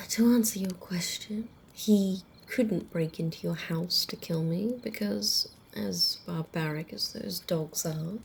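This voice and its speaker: An ancient vampire woman, acted by a woman, talks in a low-pitched, mellow and often whispered voice. She takes her time, teasing and amused, with casual menace under the softness. It is an intimate ASMR roleplay delivery at a very slow, conversational pace. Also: a little hiss or hum. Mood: wry, amused. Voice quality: soft, breathy, often whispered. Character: centuries-old female vampire, wry, teasing, casually menacing.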